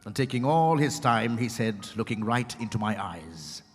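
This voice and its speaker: Casting: man playing a storyteller, measured and dramatic, echoing hall with music underneath. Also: mid-range voice, lively intonation, lightly acted. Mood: reflective. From India. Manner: measured, theatrical, short weighted phrases. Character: reflective storyteller recounting his own encounter. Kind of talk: dramatized first-person story narration. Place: event hall at a hotel. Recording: echoey hall with music behind.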